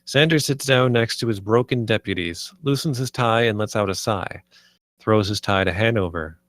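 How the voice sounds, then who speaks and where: A man's voice: fairly low pitch, normal speed, fairly bright tone, dry recording, clean studio sound. A man reading stage directions as narrator at a screenplay table read.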